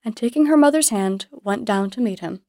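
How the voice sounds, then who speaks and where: A woman reading scripted storybook narration as the narrator, in a measured way with careful diction. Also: mid-range voice, lively intonation, warm tone, no room sound, studio quality.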